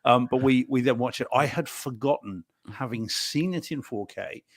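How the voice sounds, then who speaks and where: A man's voice: mid-range voice, normal speed, no room sound. A man from New Zealand co-hosting a podcast, talking casually and hesitantly with many ums. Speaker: podcast co-host. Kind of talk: casual chat.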